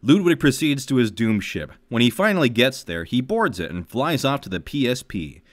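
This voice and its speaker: Male co-host, medium pitch, lively inflection, wry teasing in casual conversation. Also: moderate pace. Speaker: podcast co-host. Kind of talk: casual banter and script reading.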